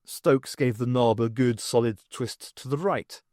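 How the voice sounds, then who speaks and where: A man reading a novel aloud as an expressive audiobook narrator, in an animated storytelling manner. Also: medium pitch, measured pace, no echo, clean studio sound. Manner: animated storytelling with acted dialogue.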